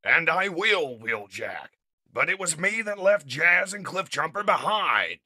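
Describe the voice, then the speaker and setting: A man voicing a heroic, wrathful robot commander in slow, dramatic declarations for a comic dub. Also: a little high, big pitch swings, sharp tone, dry recording, clean studio sound, pitched above the performer's own voice, full character voice. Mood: resolute.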